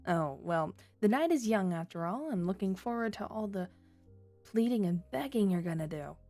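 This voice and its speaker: A woman's voice: medium pitch, expressive, moderate pace, dark tone, faint music behind, close to an everyday voice. A woman playing a flirty, teasing female criminal who toys with a detective in a coy, sultry ASMR roleplay. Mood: seductive, teasing. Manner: coy, sultry, playful innuendo.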